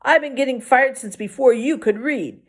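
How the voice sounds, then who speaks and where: A woman's voice: sits high, moderate pace, pitched above the performer's own voice. A woman voicing a defiant, indignant young girl in a children's novel reading. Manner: indignant, emphatic.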